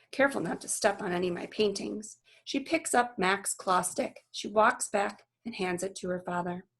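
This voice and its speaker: A woman reading a children's novel aloud as narrator, with expressive storytelling delivery. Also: on the low side, vocal fry. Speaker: storybook reader.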